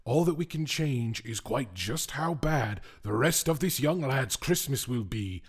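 A man's voice: mid-range voice, lively intonation, a little slow, slightly rough, voice pushed up. A man doing a pompous ranting Christmas ghost. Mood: lecturing.

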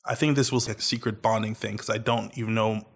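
Animated young man co-hosting a podcast. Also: on the low side. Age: young adult. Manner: animated and jokey, with quick bursts. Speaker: podcast co-host. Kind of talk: casual podcast chat.

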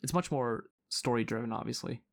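A man's voice: medium pitch, a little fast, gravelly. A man co-hosting a podcast in casual chat, hesitant with many fillers and restarts. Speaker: podcast co-host.

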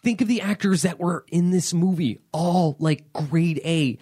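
A man's voice: fairly high pitch, measured pace, warm tone, slightly rough. A man co-hosting a podcast, recapping a film in loose casual chat, rambling with fillers and laughs. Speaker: podcast co-host.

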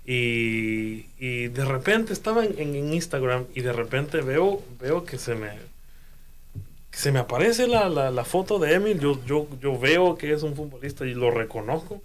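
A man's voice: mid-range voice, lively intonation, unhurried, slight background noise. A man from Honduras telling a story in casual conversation, often repeating words as he searches for them. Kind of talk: casual chat.

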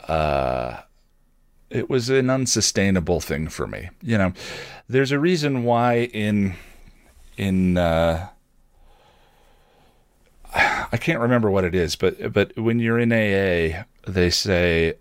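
A man hosting a solo podcast, talking casually and reflectively in a halting, self-questioning way. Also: low-pitched, dry recording, studio quality. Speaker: podcast host and video creator.

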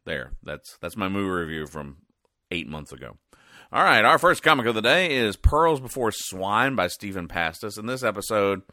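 A man with a deep voice and a bright, forward edge hosts a casual recap show. He rambles and halts in a deadpan way. The sound is close and dry, with hardly any room around it. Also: moderate pace, no room sound. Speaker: podcast host. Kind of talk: casual comedic recap of newspaper comics.

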